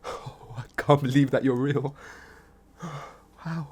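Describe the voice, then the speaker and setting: A man playing an obsessed, adoring stalker in a boyfriend ASMR roleplay, with a breathy, husky voice and a halting, pleading, worshipful manner. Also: medium pitch, steady tone, a little mellow. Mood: tender awe. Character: obsessed, adoring young stalker smitten with a killer.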